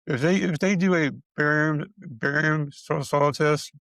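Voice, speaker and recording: A middle-aged man with a raspy voice, giving a casual update on his health. He stutters and repeats syllables, and he is short of breath as he struggles to get words out. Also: on the high side, steady tone, unhurried, fairly bright tone, no echo. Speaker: video creator sharing his illness progress.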